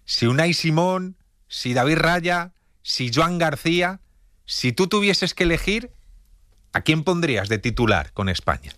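A man's voice: fairly high pitch, sing-song, a little slow, pushed and bright, no room sound, studio quality. A man interviewing a footballer, friendly and encouraging, with frequent filler sounds. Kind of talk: sports interview.

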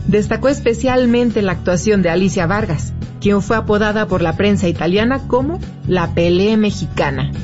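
Measured woman narrating over music. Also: medium pitch, muffled recording. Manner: measured and informative. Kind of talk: scripted documentary narration.

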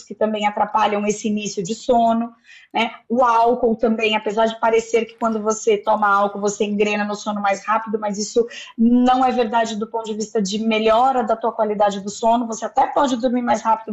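Calm woman doctor on muffled audio. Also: a little high. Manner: calm, methodical, lists advice point by point. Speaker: doctor giving sleep advice. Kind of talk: explanatory interview answers.

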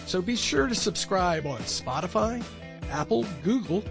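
A man's voice: high voice, animated, a little slow, gravelly, music in the background. A man hosting a podcast in a friendly, casual interview style, often repeating words as he starts a sentence. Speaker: podcast host.

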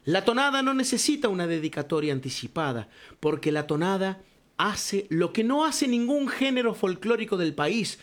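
A man's voice: sits high, very animated, normal speed. An older man telling stories about folk song in a reflective radio column and calling the listener compadre. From Cuyo, Argentina. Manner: warm storytelling, addresses listener as compadre.

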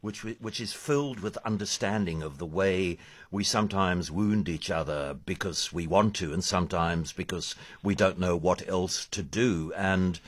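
A man's voice: low-pitched, measured pace, no room sound. Man hosting an arts interview, dry sound. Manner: rambling, many restarts and fillers. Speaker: radio arts host.

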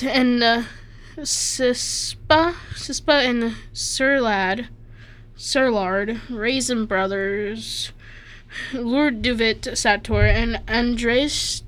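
A woman's voice: a little high, a bit bright, low-quality recording. A woman from Slovakia casually reading an article aloud, stumbling over names and adding offhand asides.

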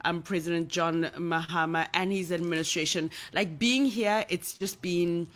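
A chatty co-host from Uganda speaks in a loose, conversational flow, padding thoughts with fillers. The tone stays playful, with teasing asides and easy laughter. The voice sits at a middling pitch. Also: fairly even intonation, normal speed, fairly bright tone. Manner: chatty, heavy use of fillers like "you know" and "like". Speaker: podcast co-host. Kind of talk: casual conversational discussion. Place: in Ghana, remote call.

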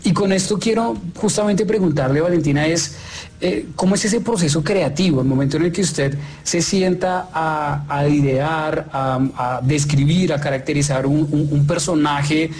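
A man interviewing in a conversational but formal, polite way, feeling his way through his questions with halting phrasing. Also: on the high side, a little mellow.

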